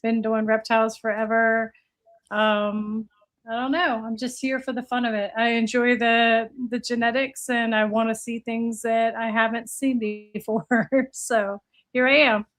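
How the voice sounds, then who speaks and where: A woman's voice: fairly high pitch, little pitch movement, unhurried, a bit bright. A woman in her late forties with an American accent, chatting casually as an interview guest. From United States (Virginia, earlier Florida). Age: late 40s. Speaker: podcast guest, reptile breeder.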